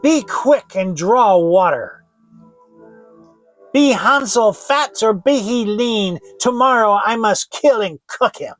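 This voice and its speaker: A man's voice: high voice, expressive, slow pace, a bit bright, faint music behind, voice pushed up, heavily acted. A man voicing a wicked, bossy old witch with a scornful, commanding manner in a dramatic fairy tale reading. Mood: gleeful menace.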